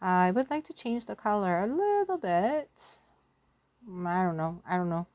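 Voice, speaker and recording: A woman's voice: on the low side, very animated, normal speed, darkish tone, dry recording. A woman giving a casual lesson through storytelling, chatty and self-deprecating, often thinking aloud as a teacher. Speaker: Korean language teacher.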